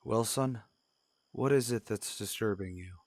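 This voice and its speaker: A man playing a patient, concerned father in an old-time radio drama, gentle but firm. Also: on the low side, unhurried, no echo. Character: patient, concerned father talking to his young son.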